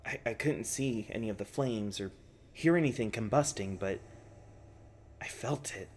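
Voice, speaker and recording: A man's voice: lowish voice, darkish tone, lightly acted. Man acting as shaken, stammering researcher, noisy background. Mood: haunted, distressed. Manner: halting, stammering, faltering delivery. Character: shaken, traumatized male researcher recounting torment. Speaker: voice actor in an audio roleplay. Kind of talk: ASMR roleplay acting, intimate monologue.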